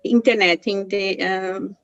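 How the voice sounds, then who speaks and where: A Brazilian woman with a Brazilian accent and Portuguese as her first language practises conversational English. She speaks slowly and haltingly, hunting for words, in a medium-pitched voice on a somewhat muffled recording. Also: fairly even intonation, a bit bright, no echo. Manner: halting, searching for words, apologetic. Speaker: English learner.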